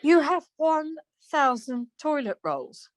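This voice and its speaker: A woman playing a brisk, plain-spoken, chatty wife in a radio play, chiding and questioning. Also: high-pitched, very animated, no room sound, studio quality, exaggerated voice. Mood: incredulous.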